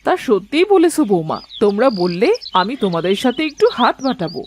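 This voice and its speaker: A woman voicing a hospitable, gently bossy older mother-in-law in a cartoon family drama. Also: mid-range voice, sing-song, a little fast, slight background noise, natural voice. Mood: homely. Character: hospitable, kindly older Bengali mother-in-law, head of household.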